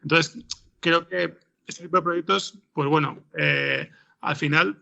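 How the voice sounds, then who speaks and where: A man's voice: a little high, fairly even intonation, slow pace, gravelly, pushed and bright, muffled recording. A man with a Spanish accent from Spain giving casual interview answers, hesitantly searching for his words. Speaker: interview guest, audio fiction screenwriter.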